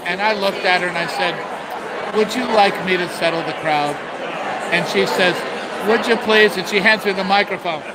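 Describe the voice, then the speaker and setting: A man's voice: high voice, normal speed. A middle-aged man, a master distiller, telling stories expansively and with gestures at a tasting in a busy bar, filmed on a handheld phone. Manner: expansive, animated storyteller with gestures. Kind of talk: casual storytelling at a tasting. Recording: noisy crowded bar. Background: loud crowd chatter.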